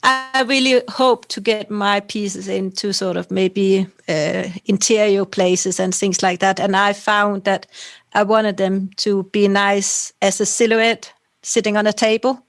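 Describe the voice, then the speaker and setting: A woman's voice: medium pitch, fairly even intonation, measured pace, no room sound. Danish-raised woman, dry close sound. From Denmark. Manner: rambling, loose, ends points with tag questions. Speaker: potter guest. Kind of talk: casual interview chat.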